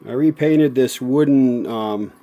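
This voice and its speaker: A man giving a casual hands-on repair demonstration as a show host, thinking aloud in a folksy, unhurried way. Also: medium pitch, mellow, muffled recording. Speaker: host of a vintage audio repair channel.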